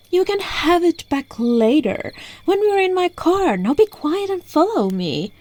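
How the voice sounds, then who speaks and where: A woman's voice: very high-pitched, sing-song, darkish tone, heavily acted. A woman voicing a seductive, teasing, controlling witch in an ASMR roleplay, coaxing the listener, recorded on a phone microphone. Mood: teasing, commanding. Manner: slow, coaxing, breaking into whispers and giggles.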